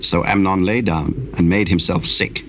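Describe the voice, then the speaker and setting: A man narrating a dramatized Bible, telling the story solemnly in measured, archaic English. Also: low-pitched, steady tone, fairly bright tone, a little hiss or hum.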